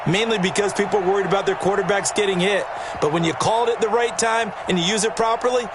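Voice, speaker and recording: A man's voice: high-pitched, steady tone, fast, noisy background, lightly acted. A man with an American accent giving emphatic, folksy football analysis as a color commentator. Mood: explanatory, animated. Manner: emphatic, explanatory. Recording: loud stadium crowd behind. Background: roaring stadium crowd.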